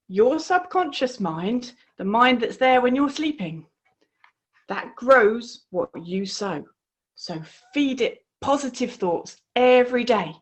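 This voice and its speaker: A woman reading her children's storybook aloud in an expressive, encouraging way. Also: fairly high pitch. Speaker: author reading her own book.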